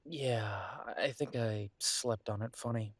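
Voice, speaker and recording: Man acting Mitch, a low-voiced, caring, weary boyfriend. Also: unhurried, dry recording. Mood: sheepish. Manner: earnest, gently coaxing, conversational. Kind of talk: intimate roleplay audio drama.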